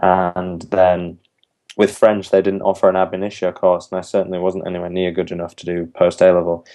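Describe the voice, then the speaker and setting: A man's voice: low voice, monotone, fairly quick, dark tone. A young man, a university language student, answering interview questions thoughtfully and earnestly, with some ums and restarts. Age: young adult.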